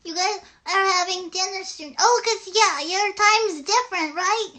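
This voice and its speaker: A woman's voice: extremely high pitch, a little slow, bright, forceful tone, heavily acted. A woman voicing a sweet, eager little girl puppet in a live puppet show, with childlike, hesitant, simple phrasing. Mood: chatty, curious.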